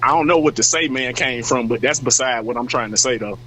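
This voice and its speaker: Halting, low-key male co-host. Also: sharp tone, slight background noise. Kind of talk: casual group banter.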